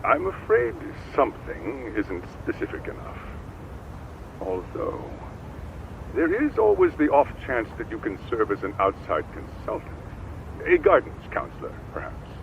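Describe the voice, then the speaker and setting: A man's voice: lowish voice, huge pitch swings, a little slow, gravelly, full character voice. A man voicing a polite, officious school administrator in a video game, formal and fussy, over dull, noisy audio. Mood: apologetic, firm.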